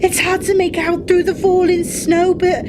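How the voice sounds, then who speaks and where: Very high-pitched, spirited young lady played by a woman. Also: level tone, moderate pace. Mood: urgent. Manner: arch, theatrical. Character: spirited, sarcastic young society lady, headstrong daughter. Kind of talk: radio play acting. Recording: heavy ambient noise behind the voice.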